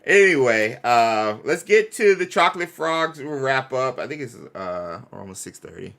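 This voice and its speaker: A man's voice: mid-range voice, sing-song, normal speed, vocal fry, fairly bright tone. A man co-hosting a casual live stream, chatting playfully with viewers and often repeating his phrases. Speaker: co-host of a fan live stream.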